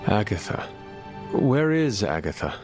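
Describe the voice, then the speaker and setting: Game role: a battle-hardened male monster hunter, lowish voice, formal and terse, music behind. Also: sing-song, unhurried. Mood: shocked. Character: stern, battle-worn supernatural male hunter. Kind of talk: video game voice acting.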